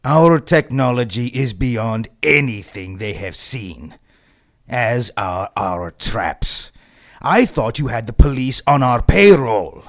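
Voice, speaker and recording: An actor playing an arrogant, menacing henchman in a pulp radio drama, measured and smug. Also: mid-range voice, animated. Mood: defensive. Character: arrogant, menacing male henchman plotting against humans.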